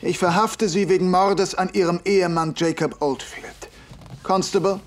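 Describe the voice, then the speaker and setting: An actor playing a stern Victorian police inspector, formal and commanding, in an audio drama. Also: male voice, high voice, steady tone, moderate pace, faint music behind, lightly acted. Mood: stern, official.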